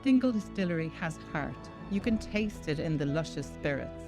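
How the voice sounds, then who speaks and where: An Irish woman narrates a travel program in a bright, enthusiastic scripted voice-over. Music plays clearly under her voice. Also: low-pitched, lively intonation, normal speed. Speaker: travel show host.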